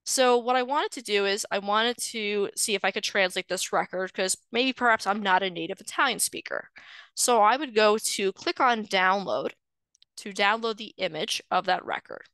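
A woman genealogist walks listeners through an online lecture in a friendly, explanatory way, often pausing on an um or uh. Her voice is bright and clean. The sound is dry and close to the mic. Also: mid-range voice, smooth, no echo, rough recording. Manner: explanatory, friendly, with frequent um and uh fillers. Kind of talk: screen-share webinar lecture. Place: indoors, voice over shared slides.

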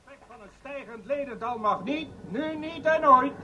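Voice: high-pitched, normal speed, crowd noise. An actor in a radio drama playing an indignant broadcasting official, giving a pompous, declamatory speech heard through a radio. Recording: dull, distant, radio-like sound.

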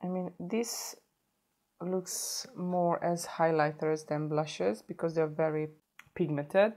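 A woman's voice: sits low, fairly even intonation, slow pace, warm tone. Chatty woman with a sore throat. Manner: chatty, self-correcting, stops to show products. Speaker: beauty vlogger. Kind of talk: casual makeup tutorial. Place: indoor home filming set.

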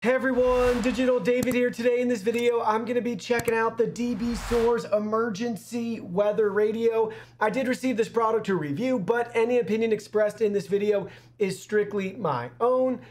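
High-voiced young man, upbeat. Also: fairly even intonation, moderate pace, darkish tone, a little hiss or hum. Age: young adult. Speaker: product reviewer. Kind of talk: scripted product review to camera. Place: small indoor studio.

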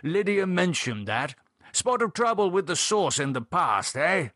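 Man putting on a jovial posh English gent, lively swooping pitch. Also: a little slow, husky, no echo, clean studio sound, exaggerated voice. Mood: jovial, hearty. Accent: upper-class English. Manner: clipped jovial upper-class phrasing with tag questions. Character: hearty posh older Englishman, jolly society gent. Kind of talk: audiobook character voice.

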